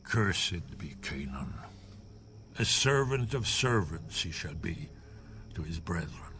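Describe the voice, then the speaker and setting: A man's voice: deep voice, sing-song, takes it slowly, sharp tone, soft background music, voice pushed down, heavily acted. A man voicing a solemn old patriarch in a scripture reading, grave and drawn out like a proclamation. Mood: stern, grave. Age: older. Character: solemn old patriarch pronouncing curses and blessings.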